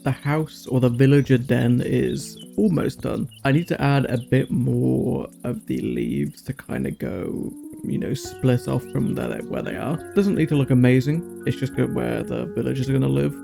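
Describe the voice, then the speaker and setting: A man's voice: medium pitch, moderate pace, vocal fry, mellow. A man giving casual, chatty gameplay commentary, thinking aloud in short bursts as he hosts a gaming video. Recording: music playing behind the voice. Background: background music.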